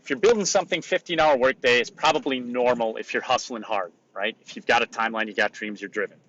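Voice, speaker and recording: A man hosting a solo motivational podcast, raspy today, talking in an emphatic, repetitive coaching way. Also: medium pitch, fast talker, fairly bright tone, dull audio, a little hiss or hum. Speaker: podcast host.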